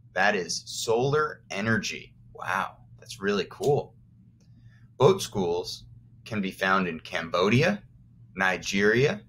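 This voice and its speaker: A man's voice: fairly low pitch, a bit bright, slight background noise. A man teaching first graders, reading aloud expressively and encouragingly, with simple explanatory asides for young children.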